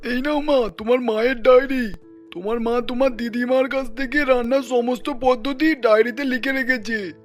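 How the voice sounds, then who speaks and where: A performer voicing a kind, reassuring middle-aged father in a cartoon story, speaking gently to a grown daughter. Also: very high-pitched, fairly even intonation, normal speed, faint music behind. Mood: gentle, helpful. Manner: gentle, encouraging. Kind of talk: Bengali cartoon story dubbing.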